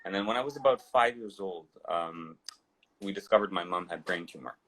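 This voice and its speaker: A man's voice: deep voice, fairly quick, a bit bright, rough recording. A man in his thirties, raised in Dubai and India, telling his life story as an interview guest with a digressive, restart-filled way of talking. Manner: digressive, rambling with frequent restarts.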